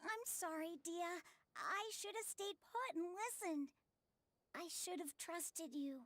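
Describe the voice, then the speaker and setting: A woman voicing an excitable little female fairy companion in a video game, fretful and scolding. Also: extremely high pitch, slow pace, full character voice. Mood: remorseful.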